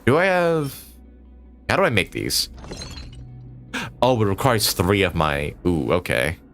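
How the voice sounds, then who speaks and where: A man with a low voice streams a video game with clear music behind him. His delivery is casual and rambling, thinking aloud, with pitch that moves around in a lively way. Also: unhurried. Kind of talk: casual live gameplay commentary.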